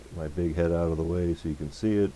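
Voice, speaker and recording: Deep-voiced man at a workbench. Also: steady tone, mellow, a little hiss or hum, low-quality recording. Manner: unhurried, muttering to himself while working. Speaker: electronics repair hobbyist, channel host. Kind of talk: casual hands-on workbench demonstration. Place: indoor electronics workbench.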